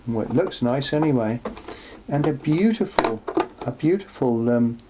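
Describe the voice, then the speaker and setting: A man presenting an unscripted show-and-tell in a workshop, speaking haltingly as he thinks aloud. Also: medium pitch, animated. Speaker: presenter showing vintage electronics for restoration. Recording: clearly noisy, with background hum.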